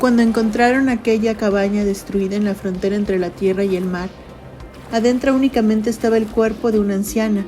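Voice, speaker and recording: A woman's voice: mid-range voice, steady tone, a little fast, dark tone. A woman reading a scripted literary narration for an audio drama. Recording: music bed under voice. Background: background music.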